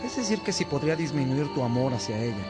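An actor playing a diligent, respectful monk who works as a scribe in a religious radio drama, explaining things carefully. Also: male voice, mid-range voice, very animated, fast talker, natural voice. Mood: helpful. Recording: faint music behind the voice.

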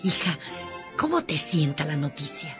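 A woman playing a gentle, dignified mother who speaks affectionately and instructively in a radio drama. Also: low-pitched, big pitch swings, moderate pace, over music. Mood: gentle, guiding. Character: gentle, dignified mother guiding her daughter.

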